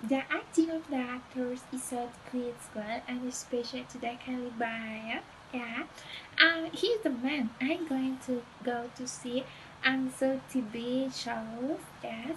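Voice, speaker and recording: A young woman from Costa Rica giving upbeat, somewhat halting opinions on a show. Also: high voice, fairly bright tone, a little hiss or hum, low-quality recording.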